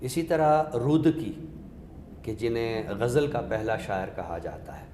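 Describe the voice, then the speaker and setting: A man's voice: mid-range voice, lively intonation, mellow. Male literary expert, Pakistani accent, scholarly talk-show delivery; hall reverb, faint background noise. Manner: scholarly, deliberate, explains by question and example. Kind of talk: explanatory literary discussion.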